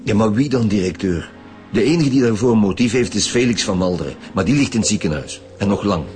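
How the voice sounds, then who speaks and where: A man's voice: fairly low pitch, lively intonation, quick pace, a little mellow, faint music behind, natural voice. A man with a Flemish accent playing a direct, businesslike police detective in a radio drama. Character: brisk, businesslike male police detective in charge.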